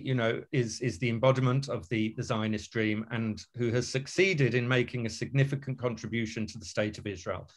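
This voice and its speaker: Guy hosting a webinar, stumbles a lot, repeats words, lots of ums, on a video call. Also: medium pitch. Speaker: webinar host introducing the speaker. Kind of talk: formal introduction.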